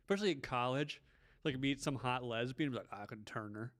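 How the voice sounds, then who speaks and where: A man's voice: mid-range voice, fairly quick, fairly bright tone. A man in his thirties hosting a podcast, teasing his guest and telling casual stories with some swearing. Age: 30s. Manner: ribbing, anecdotal, casual swearing. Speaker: podcast host.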